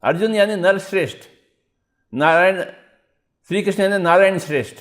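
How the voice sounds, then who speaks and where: A high-voiced man delivers a spiritual discourse as a meditation teacher. He exhorts his listeners, repeating key phrases and putting rhetorical questions to them. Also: moderate pace, a bit bright.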